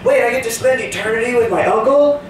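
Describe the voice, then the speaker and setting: Echoey, high-voiced young male stand-up. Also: fast talker, over a noisy crowd. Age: 20s. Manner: profane, incredulous ranting. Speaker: stand-up comedian at an open mic. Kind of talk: live stand-up comedy. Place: small cafe comedy night, indoors. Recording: distant mic, echoey noisy live room.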